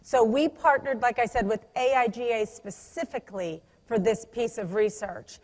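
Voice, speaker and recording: A woman giving a conference presentation, energetic and emphatic, with a loud voice and frequent restarts. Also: on the high side, moderate pace. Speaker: conference speaker. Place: conference room. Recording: long hall reverb.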